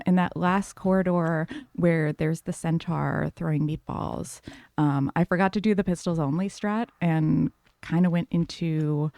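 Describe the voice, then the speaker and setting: A woman livestreaming a game, talking calmly and softly to her chat with lots of fillers. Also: lowish voice, no echo. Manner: soft, calm, many fillers. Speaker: streamer.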